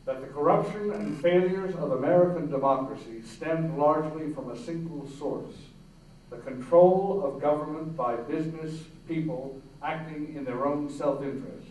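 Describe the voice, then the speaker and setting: A man's voice: on the high side, normal speed, darkish tone. A man giving a staged reading of historical text in a large hall. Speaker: reader in a staged dramatic reading. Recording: echoey hall, distant, faint noise.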